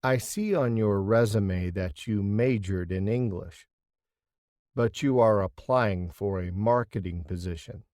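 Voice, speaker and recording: A man reading the interviewer's part in a scripted practice job interview, asking plain, steady questions. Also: lowish voice, measured pace, no room sound, studio quality.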